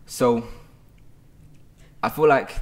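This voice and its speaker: A man's voice: medium pitch, drawn-out words. A young man hosting a casual interview podcast, speaking into a handheld microphone. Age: young adult. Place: large loft room indoors.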